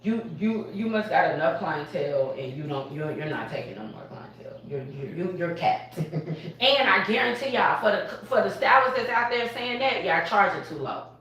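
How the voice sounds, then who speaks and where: A woman, a barbershop owner speaking on a live panel in an animated, emphatic way, often laughing. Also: on the low side, moderate pace, a little hiss or hum. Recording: echoey room from far off.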